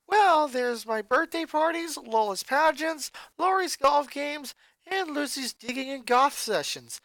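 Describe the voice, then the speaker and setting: Reader doing a jokey teenage girl comedian, higher voice, louder and brighter, lively, laughs at own puns. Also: a little slow, no echo, poor audio. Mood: joking. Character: upbeat teen girl comedian, loves puns.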